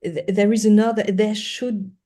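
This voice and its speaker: A woman who is not a native English speaker, talking as a podcast guest in an earnest, halting way with emphatic repetition. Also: mid-range voice, flat delivery, warm tone. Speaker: guest lawyer. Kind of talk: conversational podcast interview.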